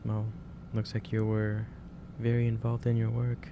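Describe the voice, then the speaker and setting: Man playing a gentle, halting boss, noisy recording. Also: lowish voice, monotone. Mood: gentle concern. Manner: hesitant, halting, careful phrasing. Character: gentle, concerned male office boss, lecturing kindly. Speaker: ASMR roleplay performer. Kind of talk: soft-spoken ASMR roleplay. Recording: clearly noisy ambient background.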